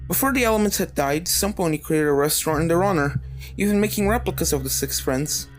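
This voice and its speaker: A woman's voice: lowish voice, expressive, fast, faint music behind. A woman reading a scripted story aloud as a dramatic narrator, telling the tale in character-driven prose. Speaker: fan fiction narrator.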